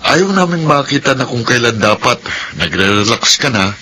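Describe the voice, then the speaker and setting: A resentful grown son in a radio drama, full of complaint and sarcasm. His tone is bright and forceful at a middle pitch, with faint noise behind it. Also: animated, moderate pace. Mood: resentful, protesting. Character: scornful, exasperated adult son, materialistic and resentful.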